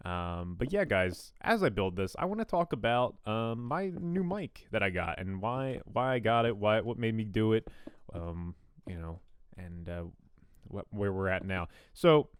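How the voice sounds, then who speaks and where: A young man with a fairly deep radio-style voice, chatting casually and rambling with self-deprecating asides while he builds in a game. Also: clean tone, no echo. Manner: rambling, self-deprecating, frequent ums and restarts. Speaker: gaming YouTuber. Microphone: new studio condenser mic.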